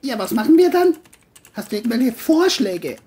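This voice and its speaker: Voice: mid-range voice, sing-song, moderate pace, dark tone, pitched above the performer's own voice, close to an everyday voice. A narrator voicing an irritable, foul-mouthed young man in an audio crime drama, whiny and exasperated. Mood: baffled.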